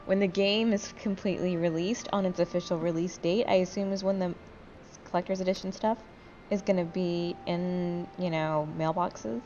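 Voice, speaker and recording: A woman's voice: on the low side, steady tone, moderate pace, darkish tone, slight background noise. A very tired woman chatting casually with friends while hosting a gaming video, rambling and swearing informally. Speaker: gaming video host and player. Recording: dull lo-fi voice chat, faint music.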